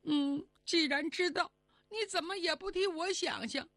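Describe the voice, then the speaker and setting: A woman's voice: very high-pitched, a little slow, a bit bright, dry recording, clean studio sound, voice pushed up, exaggerated voice. A woman voicing an eager little pet rabbit in a folk tale, pleading and wheedling. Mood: nagging, pleading.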